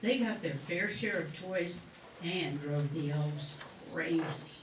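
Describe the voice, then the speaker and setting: A woman's voice: low-pitched, slow. A woman giving scripted storybook narration for a radio play. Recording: roomy, distant mic, faint noise.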